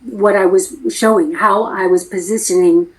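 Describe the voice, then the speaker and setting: A woman's voice: medium pitch, steady tone, moderate pace, rough recording. A middle-aged American woman talking frankly and emphatically as an acting coach in a casual interview. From United States.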